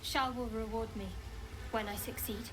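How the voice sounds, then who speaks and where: Fantasy game role: devout, guarded young female cleric, acted by a woman, fairly high voice, measured, with noticeable background ambience. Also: steady tone, lightly acted. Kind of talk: dramatic fantasy game acting. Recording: clear background ambient noise.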